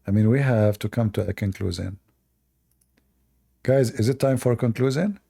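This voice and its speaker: A man's voice: mid-range voice, normal speed, gravelly, warm tone, slightly rough. A man hosting a live-stream debate, talking to the chat in a sarcastic, mocking way. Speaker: livestream debate host.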